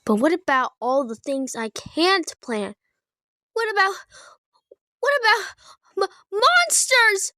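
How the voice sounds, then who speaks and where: A woman's voice: very high voice, expressive, slow pace, pauses often, bright, forceful tone, no room sound, clean studio sound, voice pushed up, full character voice. A woman voicing an anxious, clingy young male baby frog in a storybook, fretful and earnest.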